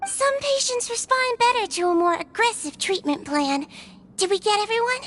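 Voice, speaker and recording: A woman's voice: very high voice, animated, moderate pace, a bit bright, a little hiss or hum. A woman voicing a sweet young head nurse in a video game, composed and precise with polite bedside manner. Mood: calm, clinical. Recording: game music behind the voice.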